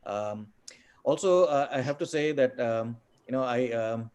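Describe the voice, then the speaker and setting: A middle-aged man who grew up in India and is a native Tamil speaker, answering webinar questions on a video call, halting with many fillers and repeated words. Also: mid-range voice, darkish tone, smooth. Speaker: guest speaker.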